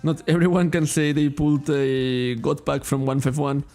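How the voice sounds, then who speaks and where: A man with a Catalan accent talks loosely on a card shop livestream, often correcting himself and laughing. His voice sits a bit high, with a gravelly edge and a mellow, dark tone. Soft music plays faintly behind him. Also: level tone, moderate pace. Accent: Catalan-accented English. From Spain. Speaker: trading card shop streamer. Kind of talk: casual livestream chat.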